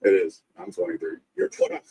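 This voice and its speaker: Gravelly, animated man joking along. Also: very high voice, fast talker.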